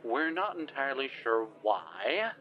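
A man's voice: medium pitch, big pitch swings, a little slow, lo-fi sound. An actor playing a flustered, formal middle-aged male scientist in an audio drama, hesitant and wordy. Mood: awkward, hesitant. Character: flustered, formal older male scientist reporting a cryptid incident.

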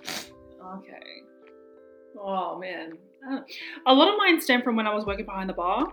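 A young woman with an Australian accent, co-hosting a podcast and telling stories in expressive, casual chat with some swearing. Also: medium pitch, normal speed, faint music behind. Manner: expressive, swearing, acts out dialogue. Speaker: podcast co-host.

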